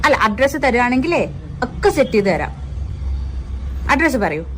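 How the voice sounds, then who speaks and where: A woman's voice: fairly high pitch, big pitch swings, moderate pace. A woman with a Kerala accent chatting casually in a phone conversation. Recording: noisy clip with vehicle ambience.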